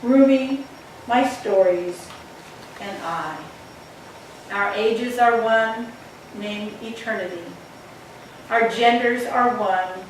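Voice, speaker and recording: Echoey, slow-spoken woman reading poetry in church. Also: medium pitch. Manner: deliberate, dramatic phrasing with pauses. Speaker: minister reading a poem to a congregation. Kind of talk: expressive poetry reading within a church talk. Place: large indoor church sanctuary. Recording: very echoey hall, distant mic, faint noise.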